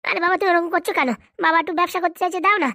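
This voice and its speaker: A woman's voice: very high-pitched, fairly even intonation, racing, pushed and bright, dry recording, studio quality, exaggerated voice. A woman voicing a bossy young wife in a comedy cartoon, scolding and pleading like a devoted daughter. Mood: nagging. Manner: scolding, nagging.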